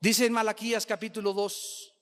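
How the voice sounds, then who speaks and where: High-pitched, emphatic Mexican male preacher. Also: level tone, moderate pace, fairly bright tone. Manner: emphatic, repeats phrases for effect. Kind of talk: sermon. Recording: echoing hall with long reverb.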